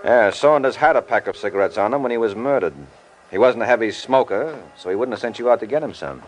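An American man playing a suave, cocky private detective in an old radio drama, with a wry, unhurried wisecracking manner. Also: mid-range voice, a little hiss or hum, close to an everyday voice, rough recording. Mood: cool, needling.

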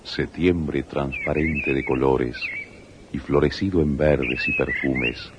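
Very deep, slow, solemn male narrator. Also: fairly even intonation, very noisy. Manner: grave, declamatory. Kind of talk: solemn poetic radio drama narration. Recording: dull 1980s radio recording, loud guitar music.

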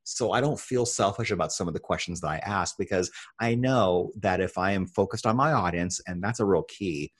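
Deep-voiced, husky American man. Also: brisk, few pauses. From Iowa, United States. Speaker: podcast guest, internet radio show host. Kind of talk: conversational interview storytelling.

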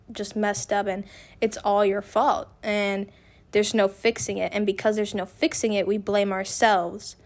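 Young woman w a slightly raspy voice, solo podcast, rambly and open, just talking. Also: mid-range voice. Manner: candid, rambling, with frequent restarts. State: raspy from feeling unwell. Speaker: podcast host. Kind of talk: casual personal podcast monologue.

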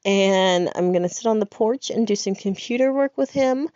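A woman's voice: medium pitch, normal speed, dark tone, no echo, lo-fi sound. A woman, congested from a head cold, chatting in a rambling, upbeat way as a podcast host. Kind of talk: casual personal chat.

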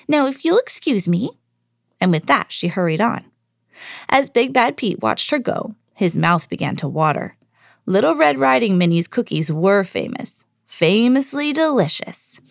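A woman hosting a read-aloud podcast, narrating a scripted children's fairy tale in an expressive storytelling style. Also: mid-range voice, a little slow, creaky, no room sound, clean studio sound.

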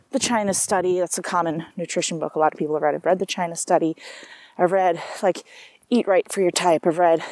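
A chatty woman rambles through a personal monologue, doubling back on herself and adding asides. Her voice sits a little low for a woman. The recording is close and dry, with almost no room sound. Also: a little mellow, dry recording. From South Africa. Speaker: vlogger.

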